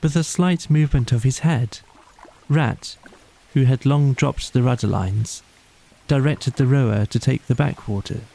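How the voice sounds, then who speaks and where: A man narrating a bedtime storybook in a measured, solemn, literary manner. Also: mid-range voice, vocal fry, mellow. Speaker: audiobook narrator. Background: music.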